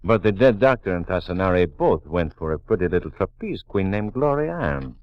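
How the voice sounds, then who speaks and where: An actor playing a dry, sardonic police detective lieutenant in a 1940s radio drama, insinuating and deliberate. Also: male voice, low voice, big pitch swings, no echo. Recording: dull 1940s radio recording.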